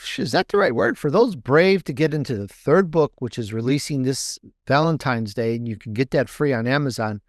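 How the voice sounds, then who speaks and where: A man talking casually as a guest author in an interview, halting and restating phrases for emphasis. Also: medium pitch, a little mellow, no room sound, clean studio sound. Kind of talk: casual promotional interview talk.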